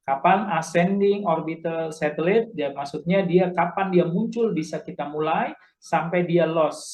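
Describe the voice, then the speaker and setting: A man with a fairly high voice explains things as an instructor. His delivery is informal and a little halting, with key points repeated. The sound is slightly muffled and lo-fi. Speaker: amateur radio instructor. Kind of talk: informal spoken tutorial.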